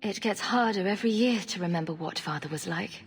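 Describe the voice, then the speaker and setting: An actress playing a kind, quietly burdened heroine in a fantasy game, with a husky voice and gentle manner. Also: female voice, mid-range voice, brisk. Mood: wistful. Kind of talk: fantasy game cutscene acting. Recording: hall reverb, music behind.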